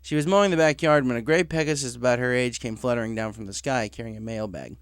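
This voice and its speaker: A man's voice: medium pitch, quick pace, smooth, dry recording, clean studio sound. A man reading a story aloud as a scripted narration, in the manner of an audiobook. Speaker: audiobook reader of fan fiction.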